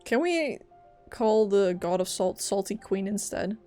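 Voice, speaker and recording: A woman's voice: medium pitch, expressive, a little slow, mellow. A young woman giving casual live gameplay commentary, playful and teasing in manner. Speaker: game streamer. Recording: game music behind voice.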